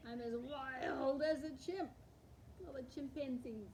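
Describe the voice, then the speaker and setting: A woman hosting storytime, reading picture books aloud to young children in an expressive, animated way that acts out the words. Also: high voice, slight background noise. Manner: animated, acts out words with slowed and varied delivery. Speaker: storytime host.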